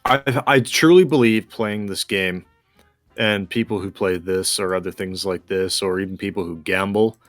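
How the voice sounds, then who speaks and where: A man's voice: sits low, moderate pace, no echo, faint music behind. A man chatting casually while playing a game, musing and explaining his thinking as he goes. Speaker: gamer co-hosting a gameplay video.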